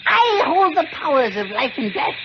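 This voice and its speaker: An actor playing a giggling, deranged male lighthouse keeper in a 1950s radio drama, in a high, cackling, taunting sing-song. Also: very animated, normal speed, vocal fry, noisy background, heavily acted. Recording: muffled old radio broadcast with music.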